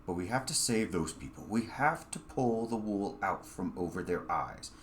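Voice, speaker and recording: A man voicing a forceful, self-righteous leader in a story, rallying followers with emphatic, oratorical speech. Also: sits low, expressive, normal speed, slight background noise. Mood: fervent urging.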